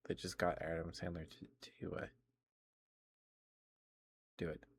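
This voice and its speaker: A man streaming ASMR close to the microphone, chatting casually and sometimes whispering, in a halting, rambling way. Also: deep voice, monotone, slightly rough, no echo. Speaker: ASMR livestreamer. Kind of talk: ASMR livestream, casual chat with whispered passages.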